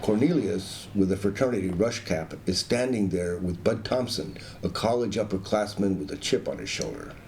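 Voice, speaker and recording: A man's voice: low-pitched, expressive, moderate pace, few pauses, darkish tone, a little hiss or hum. A man announcing and narrating a radio play in a scripted style. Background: string music.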